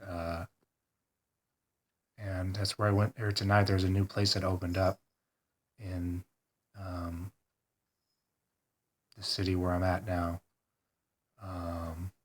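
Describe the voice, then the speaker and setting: A congested man talking hesitantly in a rambling personal video diary, full of pauses and ums. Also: low-pitched. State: congested, stuffed-up nose. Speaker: vlogger.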